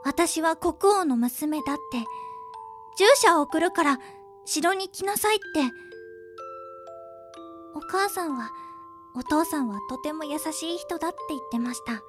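Woman acting a high-voiced, polite young princess. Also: expressive, unhurried, long pauses, music in the background. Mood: wistful. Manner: soft, hesitant, formally polite. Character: polite, earnest orphaned young princess, timid but bright. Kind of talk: Japanese audio drama acting.

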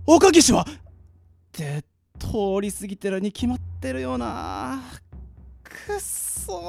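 Woman doing a hungover office guy in a radio play, gravelly, grumbling, pitch jumps around. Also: a little mellow, no echo, exaggerated voice. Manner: muttering, exasperated, rough slangy speech. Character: hungover, self-scolding male office worker, grumbling and irritable. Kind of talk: amateur radio drama acting.

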